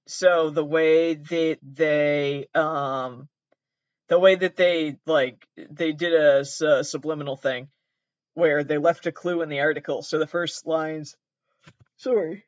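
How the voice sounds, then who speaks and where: A man's voice: on the high side, dry recording, highs cut off. A man hosting comedic commentary on pranks, rambling, swearing and joking at his own expense. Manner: rambling, swearing, self-deprecating.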